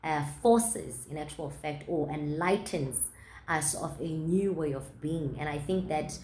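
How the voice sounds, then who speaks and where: A woman giving reflective panel remarks, searching for her words in halting phrases. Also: low voice, darkish tone. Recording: echoey room.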